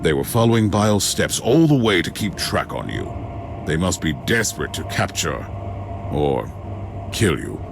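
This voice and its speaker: Deep, stern commanding inquisitor. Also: male voice, big pitch swings, moderate pace, gravelly, a little hiss or hum, heavily acted. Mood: grave. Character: grim, authoritative male inquisitor, steadfast and protective. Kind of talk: dramatic video game acting. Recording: loud music behind the voice.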